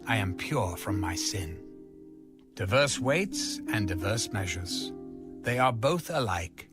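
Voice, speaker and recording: Slow, expressive male scripture reader over music. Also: on the low side. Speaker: Bible reader. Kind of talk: solemn scripted scripture reading.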